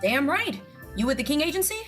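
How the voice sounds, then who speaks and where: Wry, higher-voiced hotel owner. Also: female voice, sing-song, brisk, fairly bright tone. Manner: casual, wry, plainspoken. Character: wry, jaded woman hotel part-owner, plainspoken. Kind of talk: scripted audio drama acting. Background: music.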